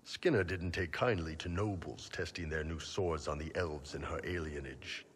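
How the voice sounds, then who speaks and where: An actor playing a big, horned mercenary captain, easygoing and wryly amused, in a fantasy video game. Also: male voice, rumbling low, moderate pace, breathy edge. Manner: laid-back, wry, amused. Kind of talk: video game dramatic acting.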